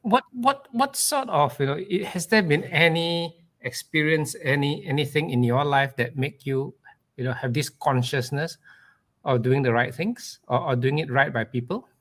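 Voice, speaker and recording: A man's voice: fairly high pitch, normal speed, fairly bright tone. Chatty upbeat man hosting a live show. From Australia. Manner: chatty, lots of fillers and repeated starts. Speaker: host. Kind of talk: live online show interview.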